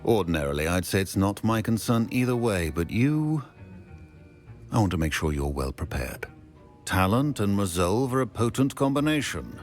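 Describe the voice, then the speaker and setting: A man playing a stern, exacting middle-aged professor in a game cutscene, measured and dry. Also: fairly low pitch, lively intonation, faint music behind, lightly acted.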